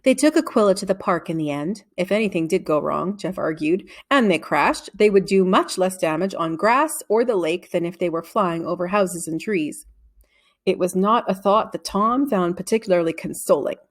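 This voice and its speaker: A woman narrating a children's novel aloud in an expressive storytelling way. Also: on the low side, moderate pace. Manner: expressive storytelling with acted dialogue.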